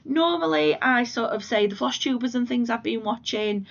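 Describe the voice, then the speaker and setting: A woman from the UK with a hoarse voice from a cold, chatting casually about her crafts at home in a rambling, self-deprecating way. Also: on the high side, normal speed, lo-fi sound. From United Kingdom. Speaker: flosstube vlogger. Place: living room at home.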